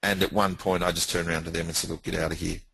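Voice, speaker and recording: Deep gravelly plain-spoken man. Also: little pitch movement, racing, sharp tone. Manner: plain-spoken, emphatic, repeats himself. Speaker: guest business owner. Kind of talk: casual recounting.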